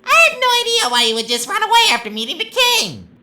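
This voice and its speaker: A woman's voice: very high voice, sing-song, quick pace, sharp tone, full character voice. A woman voicing a sadistic, childishly gleeful talking flower villain in a video game, taunting theatrically and swinging from sweet to menacing. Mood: angry taunting.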